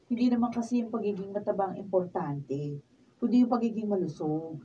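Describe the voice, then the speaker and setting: Woman acting an encouraging, very mellow Filipino relative. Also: medium pitch, fairly quick, lightly acted. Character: encouraging, devout female relative. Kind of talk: Filipino radio drama acting. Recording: dull, distant mic, faint noise.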